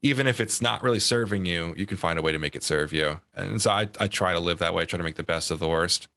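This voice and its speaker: A man who is a guest on a podcast, talking casually and quickly in a laid-back way that is peppered with "dude". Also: sits low, creaky, bright, forceful tone.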